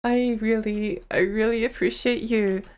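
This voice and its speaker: A woman's voice: fairly high pitch, fairly even intonation, unhurried, darkish tone. A young woman streamer chatting casually on a live stream, her voice tired and strained, rambling and giggling as she talks.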